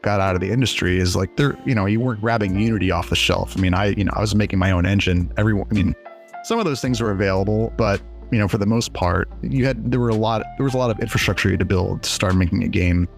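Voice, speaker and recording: A man's voice: lowish voice, fast talker, creaky, faint music behind. A man in his late forties, a developer telling his story in a casual, rambling, self-deprecating interview. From USA. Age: 40s. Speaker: indie game developer guest.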